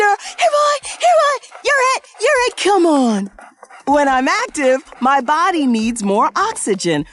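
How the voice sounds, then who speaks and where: Excitable female cartoon professor, very wide swooping pitch, slow delivery for little kids. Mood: excited, playful, then enthusiastic, explaining. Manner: exaggerated, sing-song, slow and clearly explanatory. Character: cheerful, excitable woman science professor for young children. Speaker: presenter of a children's science cartoon. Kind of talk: scripted educational cartoon narration.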